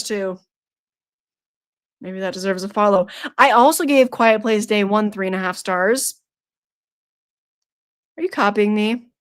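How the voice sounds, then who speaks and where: A young woman hosting a live stream, chatting casually with her viewers, playful and teasing, laughing often. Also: a little high. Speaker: streamer hosting a horror trivia night.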